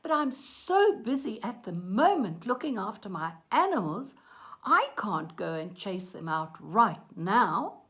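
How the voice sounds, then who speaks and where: A woman's voice: mid-range voice, very animated, a little slow, fairly bright tone. A woman voicing a flustered but polite male farmer in a children's story, pleading emphatically. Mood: exasperated.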